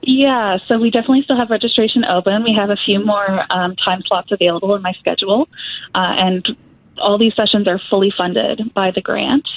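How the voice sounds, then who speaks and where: A woman's voice: mid-range voice, steady tone, fairly quick, no echo. A young adult woman music therapist, explaining her voice work as an interview guest with frequent fillers. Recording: tinny phone-like line.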